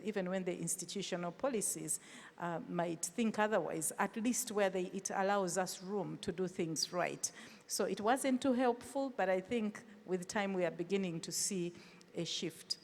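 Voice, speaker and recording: Female panelist, mid voice, earnest, reverberant room. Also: mid-range voice. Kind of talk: panel answer. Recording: echoey conference hall.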